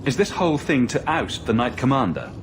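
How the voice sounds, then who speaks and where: A man's voice: medium pitch, big pitch swings, brisk, background noise, natural voice. A man playing a bold, blunt heroic champion in a video game drama, with a direct way of talking. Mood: indignant, challenging. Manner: direct, questioning. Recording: loud music behind the voice.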